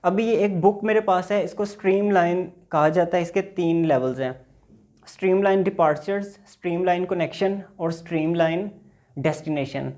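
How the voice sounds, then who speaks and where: A man's voice: high voice, moderate pace, darkish tone. A man teaching basic English in a recorded lecture, patiently explaining and reading example dialogues aloud.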